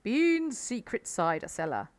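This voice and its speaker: Lady as dad fox in a storybook, sure of himself, bossy but measured, slow and expressive. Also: medium pitch, voice pushed up. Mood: pleased, cautioning. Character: confident, commanding father fox, adult male.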